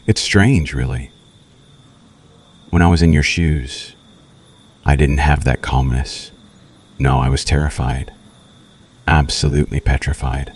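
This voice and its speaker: A man playing a seductive, menacing vampire in an ASMR roleplay, speaking slowly and intimately with a possessive, darkly romantic air. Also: exaggerated voice. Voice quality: very deep, husky, breathy. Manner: slow, intimate, softly menacing, teasing.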